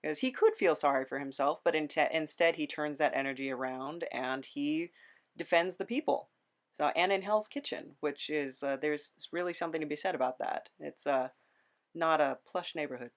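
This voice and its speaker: A podcast host chatting casually in an interview, in a halting, musing way. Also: medium pitch.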